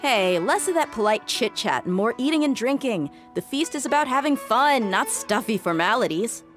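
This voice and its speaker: A woman's voice: a little high, animated, moderate pace, soft background music, close to an everyday voice. A woman playing an easygoing, direct young mercenary in a video game, brash and casual. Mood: hearty, playful. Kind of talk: video game character acting.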